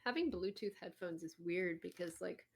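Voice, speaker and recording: A woman's voice: fairly low pitch, normal speed, creaky, darkish tone, breathy edge. A woman talking casually in a group chat with friends.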